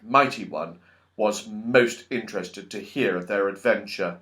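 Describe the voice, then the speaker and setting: A man narrating a children's story from a script in an expressive, dramatic storytelling manner. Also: fairly low pitch, unhurried. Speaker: audiobook narrator.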